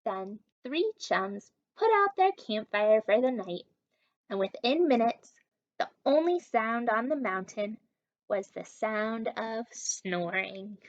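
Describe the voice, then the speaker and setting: A woman leading story time and a lesson for young children, encouraging and exaggerated, pausing as if for their answers. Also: a little high, animated. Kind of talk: read-aloud and interactive lesson for young children. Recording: dull, distant microphone sound.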